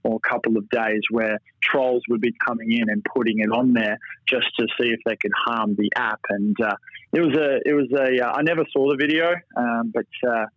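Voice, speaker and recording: A man's voice: mid-range voice, steady tone, fairly quick. An Australian man talks in a loose, rambling, upbeat way. His voice comes through a slightly muffled, lo-fi line, with no room echo at all. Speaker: guest. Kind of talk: casual interview chat.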